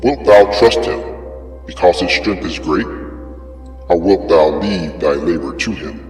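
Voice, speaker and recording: A man's voice: low voice, measured pace, darkish tone. A man giving a solemn, theatrical dramatic reading of archaic scripture, posing grand rhetorical questions. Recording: echoey long reverb over music. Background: music.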